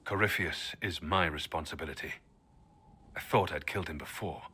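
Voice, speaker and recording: Resolute veteran adventurer, very deep voice, bright forceful edge, firm and steady, game dialogue. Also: male voice, normal speed, slightly rough, exaggerated voice. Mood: determined. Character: grim seasoned male adventurer, resolute. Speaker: former adventurer helping the Inquisitor.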